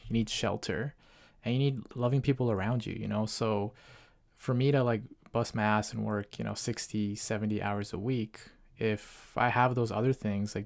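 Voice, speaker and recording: A man from Ecuador hosting a podcast, talking in a relaxed, rambling way full of fillers. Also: medium pitch, vocal fry. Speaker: podcast host. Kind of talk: casual podcast interview.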